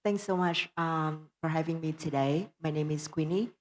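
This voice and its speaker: A woman's voice: low voice, moderate pace. A woman with a Vietnamese accent introducing herself on a conference panel, polite with many uh fillers.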